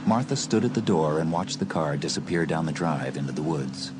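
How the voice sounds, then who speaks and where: A man's voice: very deep voice, expressive, fairly quick, heavy background noise. A man reading scripted narration for a dramatised suspense audiobook. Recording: music under the voice.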